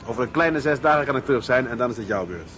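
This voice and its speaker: An actor playing a sensible, weary tugboat captain, calming and matter-of-fact, in a radio drama. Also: male voice, medium pitch, rapid-fire, a little hiss or hum, lightly acted. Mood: firm. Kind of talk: Dutch radio drama acting.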